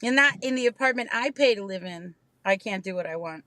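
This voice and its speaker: A woman's voice: medium pitch, animated, a little fast, bright, forceful tone. A woman co-hosting a casual live stream from inside her parked car, joking and teasing herself as she chats. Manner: joking, self-mocking, chatty. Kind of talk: casual late-night chat.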